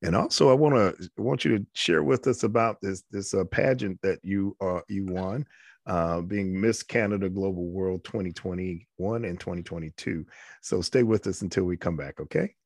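Male talk show host with a gravelly, slightly low voice and a halting, repetitive delivery. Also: no room sound, clean studio sound. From New York. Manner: halting, repeats words while talking. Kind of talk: faith-based interview talk.

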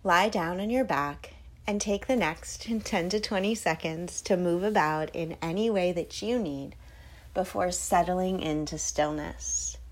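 Slow, low-pitched woman guiding meditation. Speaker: guided meditation host. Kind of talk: guided sleep meditation, soothing instruction.

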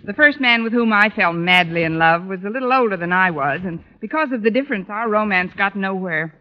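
An American actress playing a wry, deadpan woman schoolteacher with sardonic timing in a 1940s radio sitcom. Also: medium pitch, fairly quick, close to an everyday voice. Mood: dry, wry. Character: wry adult woman high school teacher, dryly lovelorn. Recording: lo-fi noisy old radio broadcast, audience.

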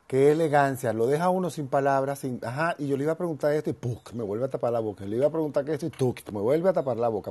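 A man's voice: mid-range voice, darkish tone. A man, hosting an interview, talking in an effusive, exclamatory way. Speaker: host. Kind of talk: interview talk.

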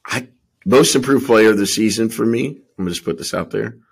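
A man's voice: fairly low pitch, fairly quick, gravelly, breathy edge. A man co-hosting a sports podcast, giving emphatic, slangy opinions in casual football chat.

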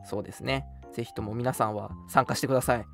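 A man's voice: mid-range voice, fairly even intonation, fast talker, vocal fry, faint music behind. A young man hosting a casual radio show, chatting politely with some self-deprecation. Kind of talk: casual radio chat and announcements.

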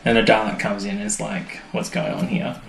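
A man's voice: on the low side, level tone, fairly quick, creaky, fairly bright tone. A man co-hosting a podcast, retelling television episodes in a casual, jokey, informal way. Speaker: podcast co-host. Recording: echoey room, faint music behind.

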